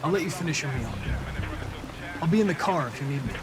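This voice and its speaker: A man's voice: a little high, expressive, quick pace, noisy background, natural voice. A man playing a polite young android detective in a video game, speaking formally and precisely in an earnest, analytical way. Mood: earnest, composed.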